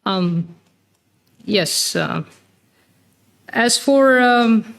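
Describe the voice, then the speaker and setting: A woman's voice: on the high side, animated, extremely slow, vocal fry. A woman with a Serbian accent giving hesitant panel remarks, full of fillers. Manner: hesitant, many fillers, careful phrasing. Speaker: book author and panel speaker.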